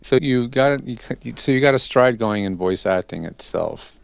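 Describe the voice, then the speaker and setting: A man's voice: medium pitch, lively intonation, moderate pace, gravelly, smooth, no room sound. A man hosting an interview in a conversational, encouraging way. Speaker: podcast interview host.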